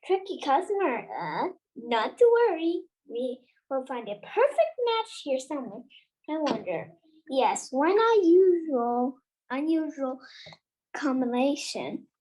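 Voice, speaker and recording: A child voicing an eccentric old wandmaker from a storybook, precise, formal and stern, with halting delivery. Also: high-pitched, big pitch swings, a little mellow, full character voice, rough recording. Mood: absorbed, exacting.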